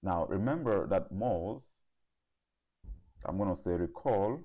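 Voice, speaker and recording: A man with a low-pitched voice gives a step-by-step lesson. He speaks deliberately as a tutor, walking through each stage and checking in with short confirmations as he goes. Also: lively intonation, muffled recording. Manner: deliberate, checks in with "okay" and "right". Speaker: chemistry tutor. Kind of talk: step-by-step instructional explanation.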